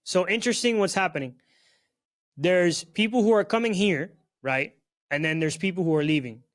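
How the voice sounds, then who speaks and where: A young man hosts a casual podcast, his voice sitting a little higher than most men's. He chats loosely and easily, filling his talk with "bro" and "you know what I mean." He comes from South Florida. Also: moderate pace. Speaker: podcast host. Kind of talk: casual chat.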